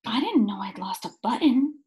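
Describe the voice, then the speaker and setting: A woman voicing a small, curious toy bear in a storybook, with a breathy, innocent, wondering delivery. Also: a little high, expressive, moderate pace, dark tone, lightly acted. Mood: hushed wonder.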